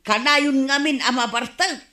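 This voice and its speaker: A woman's voice: high voice, unhurried, pushed and bright, reverberant. A woman playing a nagging, fed-up wife of a drunkard in an Ilocano radio comedy drama, complaining and reproachful.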